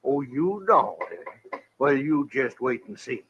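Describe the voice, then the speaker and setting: An actor playing a proud, blustery older cattle rancher with a rural Western American accent in a radio drama. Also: male voice, mid-range voice, expressive, slow pace. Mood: indignant. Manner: blustery, boastful, folksy. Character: proud, cantankerous old cattle rancher turned hotel builder. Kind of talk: 1950s radio drama acting. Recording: old radio recording, dull and hissy.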